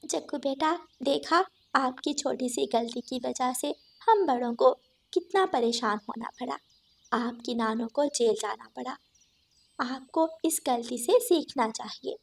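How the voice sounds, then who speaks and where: Mother bird woman acting: sensible, gently admonishing, high-pitched, creaky edge, cartoon. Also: a little slow, heavily acted. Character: kind, reasonable mother bird who pleads and admonishes. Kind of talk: cartoon story dialogue.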